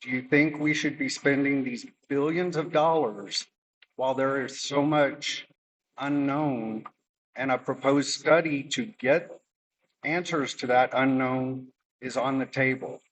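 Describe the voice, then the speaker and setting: A man's voice: mid-range voice, fairly even intonation, unhurried. A man, a landowner, reading pointed, formal questions to utility staff at a public meeting. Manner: formal, pointed, reads prepared remarks. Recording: distant mic with faint noise.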